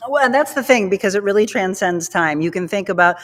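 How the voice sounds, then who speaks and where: A woman's voice: medium pitch, expressive, a bit bright. Talk show host, woman from saint louis, chatty, laughs a lot, anecdotal. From Saint Louis, USA. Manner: chatty, laughs often, tells quick anecdotes. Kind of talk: casual interview chat.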